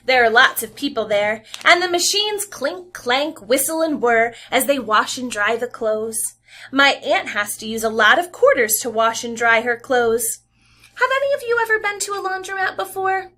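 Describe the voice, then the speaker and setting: Animated woman reading a picture book. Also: high-pitched, moderate pace. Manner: expressive, animated storybook reading with sound effects. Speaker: teacher reading aloud. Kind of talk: read-aloud to young children.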